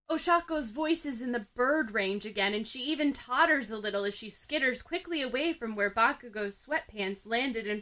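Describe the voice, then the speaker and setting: A woman's voice: fairly high pitch, pushed and bright. A woman narrating fan fiction expressively, as in an audiobook. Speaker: podfic reader.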